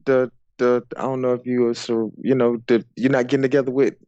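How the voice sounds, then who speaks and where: A man's voice: medium pitch, flat delivery, brisk, warm tone, no echo, lo-fi sound. A man from Georgia, hosting a podcast in casual, teasing chat and often repeating himself. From Decatur, Georgia, United States. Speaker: podcast host.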